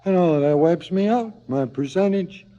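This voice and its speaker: An actor playing a cynical, philosophizing hired killer in a radio drama, sardonic and theatrical. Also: male voice, high voice, animated, moderate pace, warm tone. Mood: bitterly amused. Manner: sardonic, theatrical, swinging from muttering to outbursts. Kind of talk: 1970s radio drama acting. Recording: slightly dull 1970s radio recording.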